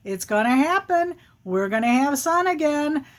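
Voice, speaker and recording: Curious forest animal, voiced by a woman, chatty onlooker, high pitch, storybook folktale. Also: lively intonation, moderate pace. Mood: matter-of-fact.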